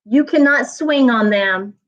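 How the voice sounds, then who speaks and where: A woman voicing a loving, self-sacrificing female tree in a storybook, gentle and soft-spoken. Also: a little high, slow, muffled recording. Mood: sorrowful.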